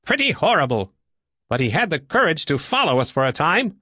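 A man reading an audiobook and voicing a brisk, excitable, blunt and curious pilot with emphatic delivery. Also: a little high, dry recording, studio quality, voice pushed up, full character voice. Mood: impressed. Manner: exclamatory, emphatic. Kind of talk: audiobook character dialogue.

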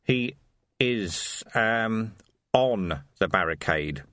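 A man's voice: lowish voice, expressive, drawn-out words, fairly bright tone, no room sound, clean studio sound, heavily acted. A man voicing a timid, henpecked husband who murmurs and hesitates, in a storybook reading.

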